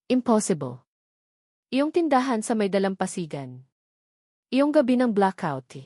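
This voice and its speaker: A woman's voice: medium pitch, sing-song, measured pace, warm tone, no room sound. Woman reading as a determined rich businessman in a love story, insistent, dry sound. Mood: disbelieving. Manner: hesitant yet insistent. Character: determined wealthy businessman searching for a lost woman.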